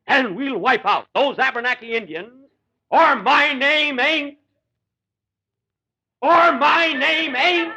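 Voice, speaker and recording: High-pitched, fussy army major. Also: male voice, takes it slowly, long pauses, pushed and bright, exaggerated voice. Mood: hushed bossy. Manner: mock-commanding, put-upon comic delivery. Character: fussy, exasperated Ranger major leading hapless troops. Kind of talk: 1940s radio comedy acting before live audience. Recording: 1940 live radio broadcast.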